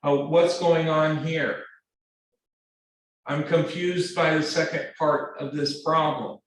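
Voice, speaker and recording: A man lecturing a math class, speaking in a halting, earnest way with repeated words and many fillers. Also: fairly high pitch, steady tone. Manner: earnest, pleading. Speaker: math instructor. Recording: very echoey classroom, distant mic.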